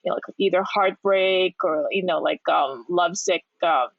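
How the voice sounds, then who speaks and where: A woman's voice: on the low side, normal speed, creaky, no room sound, dull audio. A woman co-hosting a podcast, talking hesitantly about a song with many fillers. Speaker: podcast co-host. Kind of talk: casual music review chat.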